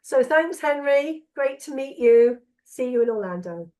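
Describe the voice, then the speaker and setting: A woman with a cold and a hoarse voice, hosting an interview in a chatty way with many fillers. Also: high voice, expressive, unhurried, crowd noise. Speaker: host. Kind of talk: casual interview chat.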